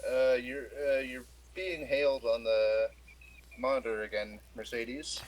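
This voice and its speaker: A man casually refereeing a tabletop game as game master, halting and thinking aloud. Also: medium pitch, steady tone, a little hiss or hum, low-quality recording.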